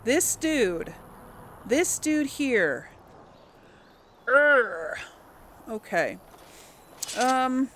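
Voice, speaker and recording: A woman commentates casually on a video game as she plays, thinking out loud. Her voice sits fairly high and swings a lot in pitch. She sounds exasperated, and there is faint noise behind her. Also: very animated, extremely slow, pauses often. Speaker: host playing a video game. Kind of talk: casual let's play commentary.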